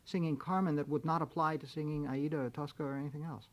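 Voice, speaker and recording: A man's voice: on the high side, fairly even intonation, quick pace. A man hosting a radio interview, who asks hesitant, rambling questions and laughs easily. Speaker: radio host.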